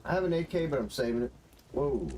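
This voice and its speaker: A man's voice: on the high side, animated, fast, a little mellow. Stuffy-nosed man over game gunfire. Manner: rambling, muttering to himself, profane. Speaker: video game streamer. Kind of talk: casual live gameplay commentary. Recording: gunfire and game noise behind voice. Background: video game gunfire and ambience.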